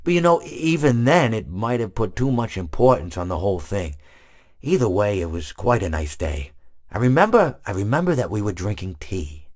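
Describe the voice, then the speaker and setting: An actor playing a gentle, absent-minded old male hippopotamus animatronic who rambles and stammers through wistful stories, in video game voice lines. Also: lowish voice, big pitch swings, normal speed. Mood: rambling.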